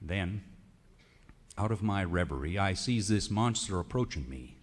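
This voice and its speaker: Animated Western cowboy poet, man, folksy drawl. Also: low voice. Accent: American West. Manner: folksy drawl with theatrical, drawn-out emphasis. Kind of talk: comic narrative poetry recitation. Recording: reverberant hall recording of a radio show.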